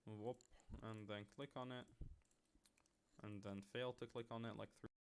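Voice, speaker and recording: A man's voice: fairly low pitch, steady tone, moderate pace, a little hiss or hum. A man from Lebanon doing casual livestream commentary as he works, thinking aloud with playful little sound-words and muttered self-mockery. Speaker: streamer, game level developer.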